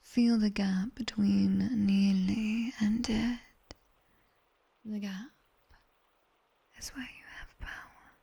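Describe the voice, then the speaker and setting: A British woman playing a sharp-tongued nightmare demoness tutor in an ASMR roleplay, whispering breathily and close to a binaural mic with wry, commanding instruction. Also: medium pitch, fairly even intonation, slow, gravelly, long pauses, slightly rough, full character voice. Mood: soft, instructing. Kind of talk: ASMR roleplay, guided sleep meditation. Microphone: close binaural microphone.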